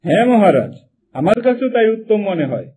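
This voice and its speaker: A cunning cartoon minister, performed by a man, with a high, dark-toned voice. He is flattering and sly, always deferring obsequiously to his master. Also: brisk. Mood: sly, doubtful. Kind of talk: Bengali cartoon dubbing.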